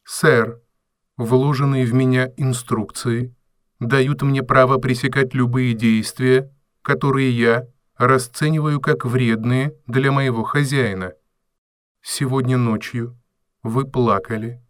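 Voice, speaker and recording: A robot servant acted by a man, courteous and coolly logical. He speaks very deliberately at a middling male pitch, formal and without emotion, in a dry radio-play recording. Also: steady tone, clear, resonant tone, no echo, close to an everyday voice. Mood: grave, polite. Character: polite, measured robot servant with unshakable calm logic. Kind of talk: audio drama acting.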